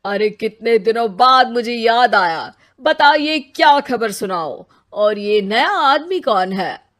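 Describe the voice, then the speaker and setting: A woman's voice: on the high side, lively intonation, slow pace, a bit bright. A woman voicing a cheeky, touchy newsreader who lives inside a magic television, teasing and chatty in a Hindi cartoon. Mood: chatty, knowing. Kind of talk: Hindi moral-story cartoon dubbing.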